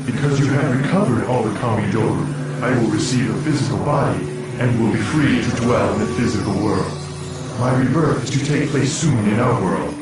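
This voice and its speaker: An actor voicing a wise, benevolent spirit guide in a video game, formal and solemn. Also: male voice, medium pitch, brisk, close to an everyday voice. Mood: solemn, encouraging. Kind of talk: video game cutscene acting. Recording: distant, heavily echoing, loud music.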